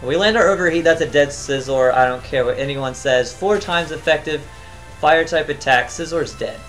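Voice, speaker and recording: A tired man giving casual, chatty commentary as he plays a video game, thinking out loud and humming to himself. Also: a little high, normal speed, faint music behind, rough recording. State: tired, voice near cracking. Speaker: gaming channel host.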